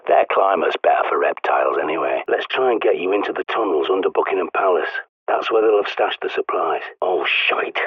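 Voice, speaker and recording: Game role: a husky, low-voiced British prepper on the radio, blunt, cynical, profane. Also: male voice, dry recording. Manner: sardonic, blunt, swears often. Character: gruff male survivalist radio guide, cynical. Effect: radio transmission. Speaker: radio guide character. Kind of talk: video game voice acting. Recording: tinny radio line.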